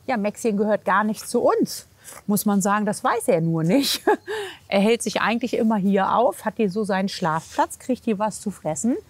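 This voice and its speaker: A woman's voice: mid-range voice, expressive, normal speed, no room sound. A woman talking casually and informally as a chatty host, chatting to the camera and with clients. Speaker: horse physiotherapist, host.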